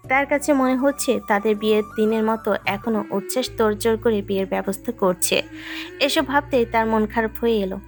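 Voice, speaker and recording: Woman narrating romance, slightly high voice. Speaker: story narrator. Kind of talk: scripted narration of a romantic story. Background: background music.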